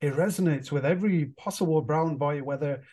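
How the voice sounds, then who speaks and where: A man's voice: on the high side, brisk, mellow. A man from England hosting a podcast, asking long, wandering questions full of "like" and "you know". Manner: rambling, searching questions with fillers and restarts. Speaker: podcast host. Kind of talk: casual interview.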